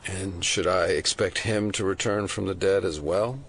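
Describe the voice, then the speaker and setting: A man playing a dry, sardonic FBI agent in an audio drama, deadpan and full of probing questions. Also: low-pitched, moderate pace, slight background noise.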